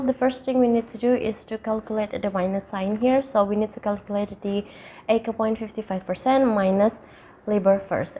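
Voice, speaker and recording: A woman's voice: medium pitch, dark tone, slight background noise. A young woman from Indonesia, a student with a nasal voice from being sick, explaining finance homework answers step by step with small restarts. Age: young adult. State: sick with a stuffy nose.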